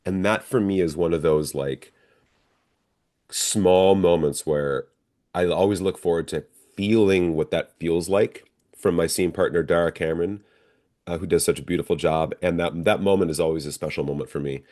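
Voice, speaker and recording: Low-voiced American man telling stories. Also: moderate pace, a little mellow. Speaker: stage actor being interviewed. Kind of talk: casual interview storytelling.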